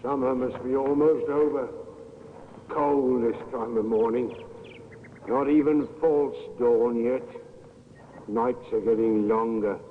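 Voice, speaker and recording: An actor playing a lonely English police constable, musing to himself in clipped phrases in a 1950s radio drama. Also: male voice, mid-range voice, slow pace, long pauses, slight background noise, close to an everyday voice. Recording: muffled, reverberant old radio recording.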